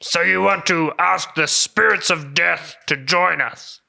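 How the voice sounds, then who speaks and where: A man's voice: a little high, unhurried, gravelly, bright, forceful tone, exaggerated voice. A man playing a stern, earnest hero who scolds his comrade insistently in an improvised comedy roleplay. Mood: earnest, puzzled.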